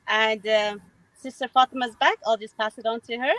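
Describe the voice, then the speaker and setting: A woman's voice: a little high, steady tone, moderate pace, a bit bright. Cheerful woman on a call from a car. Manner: cheerful, laughs easily. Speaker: panel host. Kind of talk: casual webinar panel talk. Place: in a moving car. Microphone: video call.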